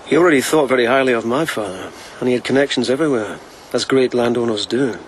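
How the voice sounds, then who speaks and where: An actor playing a gentle, wry, devoted police lieutenant in a radio drama, speaking softly and with measured intimacy. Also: male voice, mid-range voice, a little hiss or hum, natural voice, low-quality recording. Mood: reflective.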